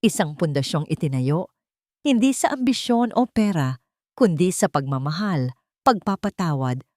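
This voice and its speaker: A woman narrating a scripted romance story in an expressive, dramatic manner. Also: fairly low pitch, moderate pace, no room sound, studio quality.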